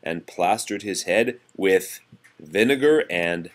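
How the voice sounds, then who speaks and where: A man reading nursery rhymes aloud to a young child in an animated sing-song, with patient, casual asides as a parent. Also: low voice, takes it slowly.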